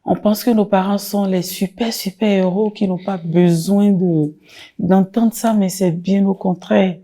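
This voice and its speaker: A woman in her forties, a jury member giving gentle, reflective feedback to a contestant. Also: medium pitch, fairly even intonation, unhurried. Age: 40s.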